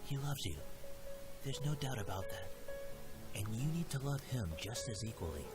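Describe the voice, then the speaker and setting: A young man playing a loving, playful elderly grandfather who speaks gently and reassuringly. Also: medium pitch, expressive, normal speed, lightly acted. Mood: earnest, reassuring. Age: young adult. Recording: loud music under the voice.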